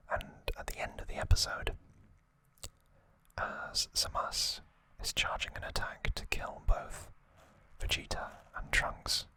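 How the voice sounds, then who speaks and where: A male ASMR host speaks in a crisp, hissy whisper that is almost fully unvoiced. His delivery is gentle and slow, broken by pauses. The breathy sound is strikingly bright as he addresses his viewers.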